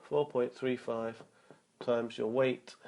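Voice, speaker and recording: A man's voice: mid-range voice, fairly even intonation, vocal fry, a little mellow. Upbeat man, a bit nasal from a cold. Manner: upbeat, motivational, many filler ums and uhs; slow and careful when reading out numbers. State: nasal from a cold, sore throat. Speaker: fitness vlogger. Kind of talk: casual vlog talk and explainer.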